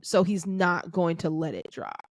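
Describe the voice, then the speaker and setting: A woman's voice: lowish voice, sing-song, measured pace, no room sound. Woman podcast host, dry close sound. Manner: thinks aloud with restarts, affirming "that's right", "amen". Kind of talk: reflective faith-centered casual chat.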